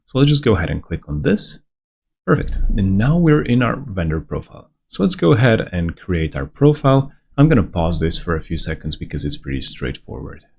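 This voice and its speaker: A man's voice: low voice, sing-song, brisk, gravelly. Man, tutorial presenter, reassuring step-by-step tone, faint background hiss. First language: Slovenian. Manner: conversational step-by-step guiding, reassuring. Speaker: onboarding guide presenter. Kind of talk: screen-recorded software walkthrough.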